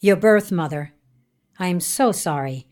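A woman's voice: fairly low pitch, expressive, unhurried, a little mellow. A woman playing a formal, businesslike private investigator in an audio horror drama. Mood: firm, formal. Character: brisk, formal female private investigator delivering documents.

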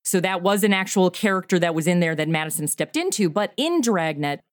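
A woman in her forties, a podcast creator being interviewed, talking enthusiastically in quick bursts. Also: fairly low pitch, lively intonation, no room sound, studio quality. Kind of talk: casual interview chat.

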